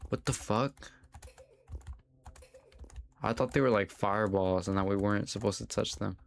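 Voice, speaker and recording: A man's voice: lowish voice, moderate pace, vocal fry. A young adult man chatting casually to his chat while playing a game live, swearing now and then. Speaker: streamer. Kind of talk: casual live gaming commentary.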